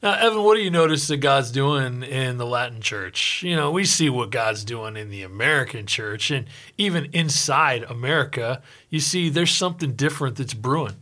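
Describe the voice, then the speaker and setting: A man hosting a radio interview, introducing his guest in a brisk, upbeat way. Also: mid-range voice, lively intonation, sharp tone. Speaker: radio host.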